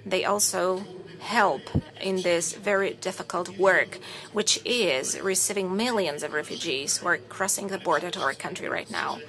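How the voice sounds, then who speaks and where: A woman giving a formal simultaneous interpretation into English, measured and full of courtesy phrases. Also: fairly low pitch, a bit bright.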